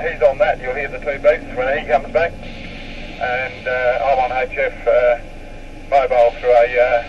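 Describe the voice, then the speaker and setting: An Australian man chatting casually as an amateur radio operator, exchanging callsigns over FM radio. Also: mid-range voice. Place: mobile in a vehicle, heard over radio. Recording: muffled, noisy, distant FM radio transmission.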